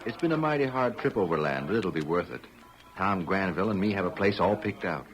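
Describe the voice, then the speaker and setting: A man's voice: sits low, lively intonation, brisk, faint music behind, rough recording. A man with an American accent playing a hopeful, trusting frontier farmer in an old radio drama, folksy and reassuring. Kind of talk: 1930s-40s radio drama acting.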